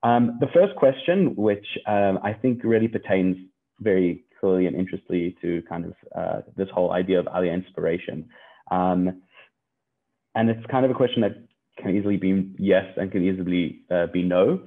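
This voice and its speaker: A man's voice: low-pitched, normal speed. A South African man hosting an online panel, speaking in a friendly way with loose phrasing and fillers. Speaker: panel host. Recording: tinny phone-like line.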